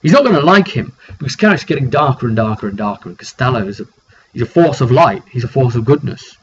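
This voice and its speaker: A man's voice: medium pitch, animated, quick pace. A muffled, noisy recording of a man working as a tabletop game master. He tells the fantasy story informally but with drama, leaning on repetition for emphasis. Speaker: game master recapping a roleplay session. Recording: dull lo-fi recording, clear background noise.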